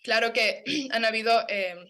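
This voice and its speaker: A woman's voice: on the high side, measured pace, bright, forceful tone. Passionate young woman activist. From Ecuador. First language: Kichwa. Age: young adult. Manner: earnest and passionate, with frequent fillers and restarts. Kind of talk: interview answer, advocacy.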